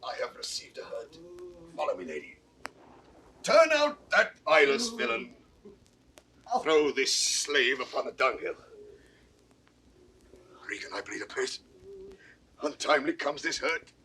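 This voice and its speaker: An actor playing a cruel, commanding duke with imperious menace in a 1960s Shakespearean audio drama. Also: male voice, mid-range voice, huge pitch swings, measured pace, pauses often, sharp tone, heavily acted. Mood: wounded, commanding. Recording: distant, noisy 1960s drama recording.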